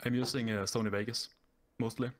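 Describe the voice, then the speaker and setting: A man's voice: medium pitch, little pitch movement, a little fast. A young man from Norway with a Norwegian accent, a guest chatting casually in an interview, hesitant with lots of fillers and restarts. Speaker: guest YouTuber.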